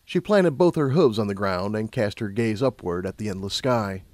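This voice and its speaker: Animated man narrating fiction, dry recording. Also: lowish voice, normal speed, dry recording. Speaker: story narrator. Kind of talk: expressive scripted fiction narration.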